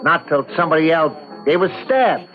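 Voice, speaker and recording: An actor playing a brusque, evasive, street-wise American nightclub employee in an old-time radio drama, talking in a brisk, colloquial, defensive way. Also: male voice, a little high, sing-song, muffled recording. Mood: gossipy, uneasy. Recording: band-limited radio drama recording, music behind.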